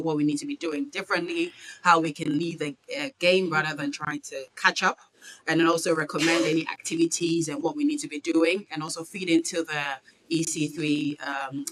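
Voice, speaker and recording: A woman chairing an education committee, who gives a steady, fluent overview at a conference with occasional fillers. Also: low-pitched, poor audio.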